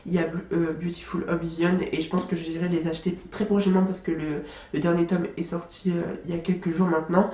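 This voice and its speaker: A woman's voice: fairly low pitch, flat delivery, quick pace, few pauses, dark tone. A young woman vlogger with a stuffy nose from a cold, chatting casually about books she loves, with lots of fillers and restarts. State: congested with a cold. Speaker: book vlogger. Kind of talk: casual book review monologue. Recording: echoey room.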